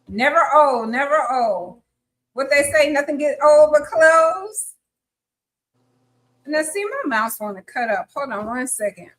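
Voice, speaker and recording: A woman's voice: sits high, expressive, measured pace, a bit bright, poor audio. A woman in her fifties hosting a live podcast interview, chatty and encouraging. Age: 50s. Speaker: podcast host.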